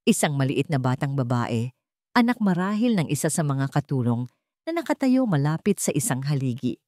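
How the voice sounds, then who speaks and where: A woman's voice: sits low, sing-song, moderate pace, a little mellow, dry recording, studio quality. A woman reading a scripted dramatic story as its narrator.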